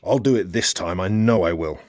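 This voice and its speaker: A man's voice: low-pitched, fairly quick, darkish tone, no room sound, studio quality, pitched below the performer's own voice, natural voice. A man voicing a determined, anxious male speed-record driver in a storybook, urging himself on in exclamations.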